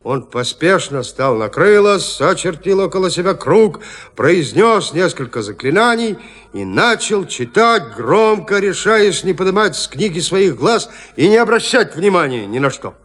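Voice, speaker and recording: A high-pitched, dramatic male storyteller. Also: sing-song, unhurried, a bit bright, heavily acted. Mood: suspenseful. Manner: theatrical, suspenseful delivery. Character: storyteller of a gothic horror tale, suspenseful. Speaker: narrator. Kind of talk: dramatic scripted narration of a gothic horror tale. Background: music.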